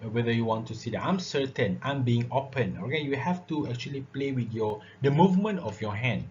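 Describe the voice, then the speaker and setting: A man's voice: mid-range voice, expressive, a little fast, a little mellow. A man giving a classroom lecture on public speaking, breaking his sentences with "uh", "okay" and "all right". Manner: filler-heavy, repeats "all right" and "okay". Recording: dull lo-fi recording with faint noise.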